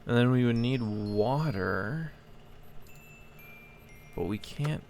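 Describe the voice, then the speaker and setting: Guy doing a casual lets play, thinking out loud, creaky voice, game music behind him. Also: medium pitch, lively intonation, takes it slowly. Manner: hesitant, thinking aloud. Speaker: game streamer. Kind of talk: casual let's play commentary.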